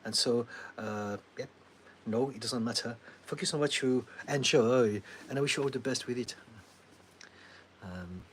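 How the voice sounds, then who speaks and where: A middle-aged man from France with a French accent, a therapist calmly answering viewers' questions on a livestream, laughing now and then and filling pauses with ums. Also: medium pitch, a little hiss or hum. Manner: calm, advising, laughs often, many ums.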